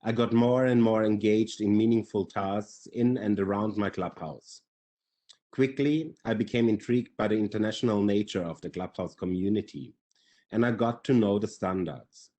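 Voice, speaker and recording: A man's voice: lowish voice, normal speed, warm tone. A man in his forties with a Finnish accent, reading prepared remarks as a panelist on a video call. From Finland. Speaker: webinar panelist.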